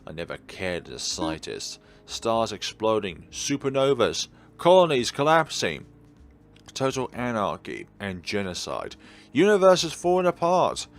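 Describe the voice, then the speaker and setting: A man reading out visual novel dialogue as a weary, ancient godlike being, wistful and gently teasing. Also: fairly low pitch, huge pitch swings, a little slow, exaggerated voice. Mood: weary, resigned. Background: faint background game music.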